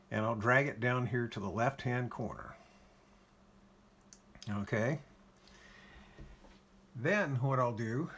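Relaxed man explaining his radio setup. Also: mid-range voice, a little hiss or hum. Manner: relaxed, folksy, frequent "uh" fillers. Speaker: ham radio operator demonstrating his station. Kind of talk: casual how-to walkthrough. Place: home radio shack by a window.

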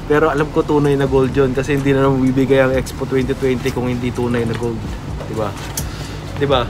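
A man's voice: mid-range voice, level tone, fast talker, music in the background. A man with a Filipino accent, chatting playfully and laughing often while filming himself on a phone. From Philippines. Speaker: vlogger. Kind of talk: casual vlog chat.

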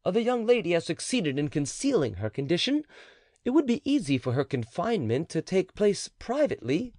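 A man reading the part of a cautious, scheming older female midwife, pitching his voice up and sounding protesting. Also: gravelly, a little mellow, no room sound, studio quality, exaggerated voice. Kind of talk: audiobook character reading.

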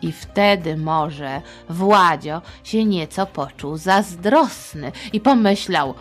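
Woman storyteller, playful, very animated intonation, unhurried pace, children's history narration, faint music. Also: fairly low pitch. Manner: playful, expressive, chatty diminutives. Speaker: podcast host and storyteller.

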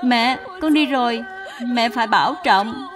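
A woman voicing a gentle, dutiful young daughter-in-law in a cartoon dub, soft, earnest and deferential. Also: a little high, unhurried, loud music behind the voice, close to an everyday voice. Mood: pleading, tearful.

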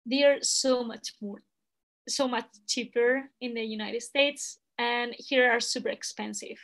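A woman's voice: a little high, steady tone, measured pace, fairly bright tone. A young woman from Spain with a Spanish accent, chatting on a video call, hesitant with many fillers. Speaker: guest language assistant. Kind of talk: casual video call chat. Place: indoor room at home. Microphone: laptop webcam video call.

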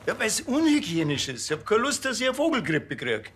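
Deadpan Bavarian policeman. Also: high-pitched, a little fast, slight background noise, full character voice. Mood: grumbling. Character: dry, grumbling male small-town policeman. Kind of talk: TV crime comedy acting.

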